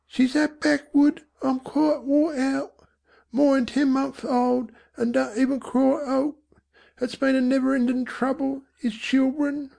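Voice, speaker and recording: A woman's voice: fairly high pitch, fairly even intonation, unhurried, vocal fry, a little mellow, pitched above the performer's own voice. A woman voicing a doleful, listless slum mother with speech, faltering and feebly respectable, in an audiobook. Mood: weary, doleful. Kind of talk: audiobook character voice.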